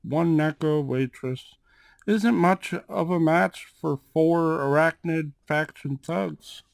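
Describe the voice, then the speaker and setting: A man playing a suave, calm and protective cat-folk gang boss in an ASMR roleplay, speaking in a measured, drawn-out way with questioning tags. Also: fairly high pitch, vocal fry, dry recording. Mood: grave, wry. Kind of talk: ASMR roleplay, acted monologue to one listener.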